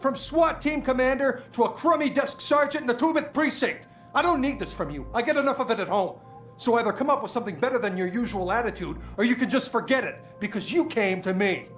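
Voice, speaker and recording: A bitter demoted desk sergeant. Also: male voice, very high-pitched, animated, a little fast, a bit bright, faint music behind. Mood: resentful. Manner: defensive, resentful. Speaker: police sergeant.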